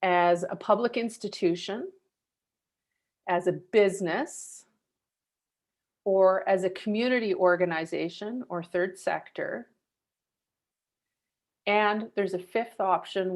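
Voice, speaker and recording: A woman hosting an online group session, calling on participants by name in a brisk, practical way. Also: mid-range voice. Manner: brisk, calls on people by name. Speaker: host.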